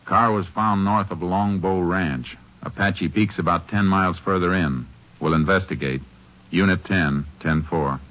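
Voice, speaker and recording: A stern Texas Ranger in a vintage radio western. His voice is extremely low, his delivery terse and authoritative, and the old recording is dull. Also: measured pace, a little hiss or hum. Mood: brisk, businesslike. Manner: terse, authoritative, matter-of-fact.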